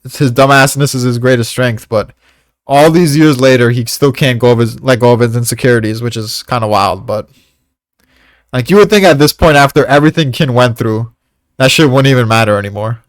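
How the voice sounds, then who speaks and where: A man's voice: mid-range voice, fairly quick, no echo. Male co-host, mid-range voice, casual and slangy, rambles with plenty of swearing, dry recording. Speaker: co-host of a manga discussion. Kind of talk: casual chat.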